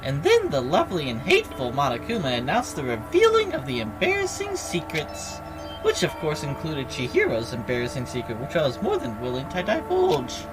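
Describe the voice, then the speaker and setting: A woman's voice: low-pitched, huge pitch swings, music in the background, pitched below the performer's own voice, low-quality recording. Woman putting on a swooping, taunting villain bear. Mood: sly storytelling. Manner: theatrical, mocking, drawn-out announcements. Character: gleeful, taunting robotic bear villain, sly storyteller. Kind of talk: dubbing video game dialogue aloud.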